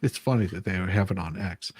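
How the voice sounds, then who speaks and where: A man's voice: deep voice, brisk, darkish tone, husky, dry recording, clean studio sound. Man, livestream host, casual chat, rambling, informal, very little room sound.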